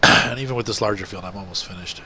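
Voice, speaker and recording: A man's voice: fairly low pitch, fast, pushed and bright, a little hiss or hum. A middle-aged man with a congested, stuffy nose, chatting casually while playing a farming game for his channel. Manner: rambling, chatty, many fillers. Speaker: gaming channel host. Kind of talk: casual gameplay commentary.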